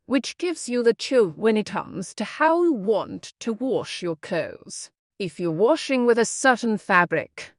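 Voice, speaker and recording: A woman's voice: fairly high pitch, no room sound, studio quality. A woman reading a scripted practice passage aloud. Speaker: reader of exam practice passages.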